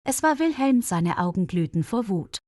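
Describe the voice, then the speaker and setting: A woman's voice: medium pitch, big pitch swings, normal speed, darkish tone, no echo, clean studio sound. A woman reading a scripted story aloud as a storyteller for language learners.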